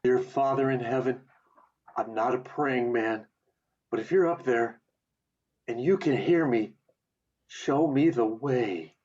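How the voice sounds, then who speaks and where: A man's voice: mid-range voice, slow, pauses often, a little mellow. A man playing a desperate, agitated family man in a radio play. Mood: desperate, pleading. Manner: agitated, pleading. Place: home recording over video call. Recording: dull lo-fi video call audio.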